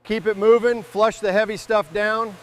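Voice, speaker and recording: A man's voice: high-pitched, little pitch movement, normal speed. A man giving hands-on commentary on restoration work in short, casual phrases. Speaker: restoration video narrator.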